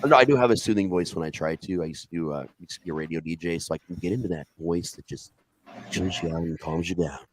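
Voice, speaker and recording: A man's voice: low voice, dry recording. A man who tells stories, chatting bluntly and profanely on a video call. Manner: blunt and profane, fast-talking. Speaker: storyteller. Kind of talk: casual chat on a video call.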